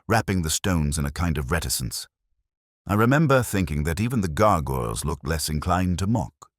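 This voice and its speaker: A man playing a weary, wry older police inspector, narrating a literary audio drama in a measured, understated, reflective way. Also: deep voice, no room sound, clean studio sound.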